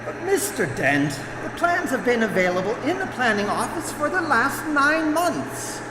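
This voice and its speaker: A woman's voice: high voice, very animated, normal speed, noisy background. A woman playing an officious, exasperated bureaucrat in a live radio comedy, pompous and insistent. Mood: officious, defensive. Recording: echoey hall with music behind.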